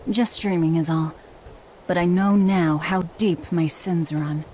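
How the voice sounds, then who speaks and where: A woman's voice: lowish voice, steady tone, unhurried, dark tone, slight background noise, natural voice, rough recording. An actress playing a grim, guilt-laden young woman warrior in a video game, terse and resolute. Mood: somber. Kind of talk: dramatic video game voice acting.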